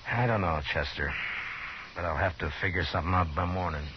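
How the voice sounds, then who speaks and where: An American actor playing a steady, world-weary frontier lawman in a 1950s radio Western, measured, grave and laconic. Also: low voice, slight background noise, lightly acted. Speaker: lead actor and narrator. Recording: muffled old 1950s radio recording.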